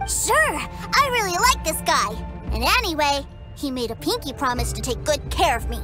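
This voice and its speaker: An eager little boy, high bouncy voice, chirpy, video game dub. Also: sing-song, moderate pace. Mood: cheerful. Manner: chirpy, earnest. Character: eager sweet little boy, younger brother. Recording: game audio with loud music.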